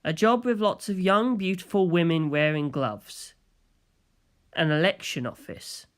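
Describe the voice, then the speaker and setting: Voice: medium pitch, unhurried. A narrator reading a scripted story in the first person.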